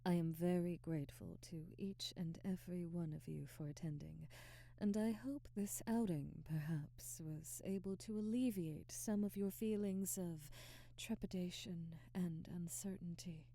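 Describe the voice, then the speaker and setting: A woman's voice: fairly low pitch, a little slow, mellow, dry recording. A woman playing a haughty, aristocratic vampire hostess in an ASMR audio drama, speaking in a formal, theatrical and commanding way. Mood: formal, poised.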